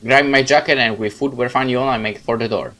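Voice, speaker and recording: A man reading a visual novel aloud as a let's player, in expressive, dramatic first-person narration with casual asides. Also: mid-range voice, fast talker.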